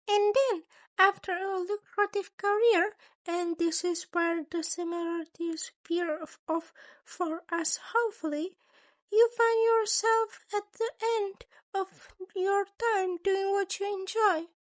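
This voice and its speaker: A small, scheming mammal principal voiced by a woman speaks far up in pitch for an audio story. He is theatrically courteous and wordy, with a sadistic menace under the politeness, taking his time in a close, dry recording. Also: steady tone, slow, no room sound. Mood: smooth, menacing.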